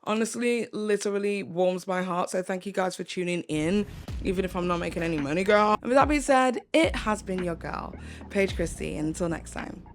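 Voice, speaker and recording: A young woman talks straight to her audience in a commentary vlog, her voice hoarse and going. She speaks earnestly and stresses points by repeating phrases, at a middling pitch for a woman. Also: fairly quick, over music. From United Kingdom. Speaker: commentary YouTuber.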